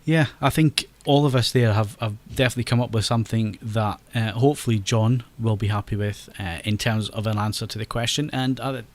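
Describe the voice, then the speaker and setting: A man's voice: lowish voice, steady tone, a little fast, few pauses. A Scottish man hosting a podcast, chatty, laughing often and stumbling over his restarts. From Scotland. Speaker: podcast host. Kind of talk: casual podcast chat.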